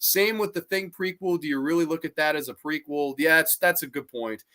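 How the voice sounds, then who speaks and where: A man's voice: a little high, a little fast, bright, forceful tone. A man hosting a casual podcast chat, enthusiastic and easygoing, swearing freely.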